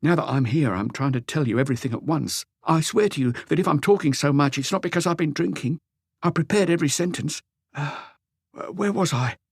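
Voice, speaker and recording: A man voicing an anguished, meek husband, raised pitch. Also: lively intonation, husky, no room sound, studio quality. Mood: rambling, apologetic. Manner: halting, rambling, confessional. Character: anguished, lonely cuckolded husband, meek and pleading. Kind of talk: audiobook character dialogue.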